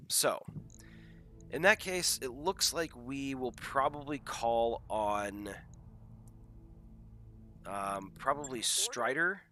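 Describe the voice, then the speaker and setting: Congested man streaming, bright forceful voice. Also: on the low side. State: congested from a head cold. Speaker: host of a live game stream. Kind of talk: casual live-stream chat with viewers. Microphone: headset.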